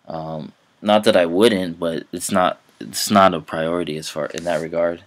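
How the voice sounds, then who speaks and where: A young man with a deep, low voice talks casually over a screen recording. He stops and restarts often, filling the gaps with ums and uhs. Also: fairly even intonation. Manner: halting, many fillers and restarts. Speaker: language hobbyist vlogger.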